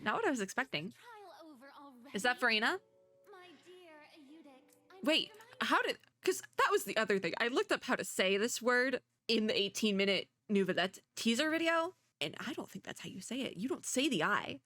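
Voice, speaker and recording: A woman's voice: a little high, big pitch swings, moderate pace, sharp tone, no room sound. A young woman doing casual reaction commentary, chatty and informal, thinking aloud as she goes. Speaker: VTuber reacting to game trailers. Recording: music in the background.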